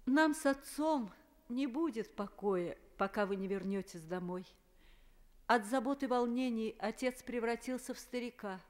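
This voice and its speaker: A worried mother pleads softly with her sons to come home, played by a woman. Her voice is a little high and tender. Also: normal speed, close to an everyday voice.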